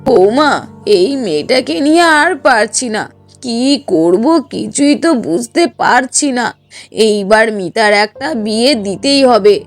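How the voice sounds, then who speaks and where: A woman voicing a fair-minded, fretful middle-aged mother-in-law in a cartoon, earnest and admonishing. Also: on the high side. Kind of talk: Bengali cartoon voice acting.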